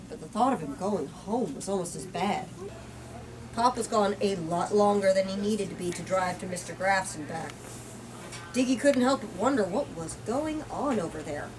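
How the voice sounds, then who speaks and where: A woman reading a story aloud as host, dramatic and informal in her delivery. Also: medium pitch. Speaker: host reading book excerpts. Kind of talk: expressive book reading with casual asides. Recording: distant mic, faint background noise.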